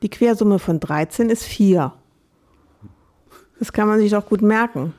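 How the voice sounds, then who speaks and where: A woman's voice: mid-range voice, unhurried, a little mellow. A woman co-hosting a podcast in casual chat, agreeing often and making playful asides. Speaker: podcast co-host.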